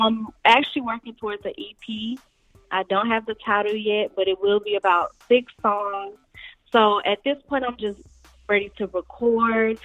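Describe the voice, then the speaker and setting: A woman's voice: mid-range voice, narrow, tinny audio. A woman, a singer talking casually about her music in an interview and often laughing. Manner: chatty, laughs often, addresses listeners as y'all. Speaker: guest singer.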